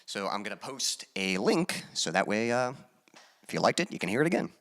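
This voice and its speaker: A man hosting his own music live stream, chatting casually with viewers and reading their comments aloud, with many pauses and fillers. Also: lowish voice, dry recording. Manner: relaxed, halting, many fillers, reads chat aloud.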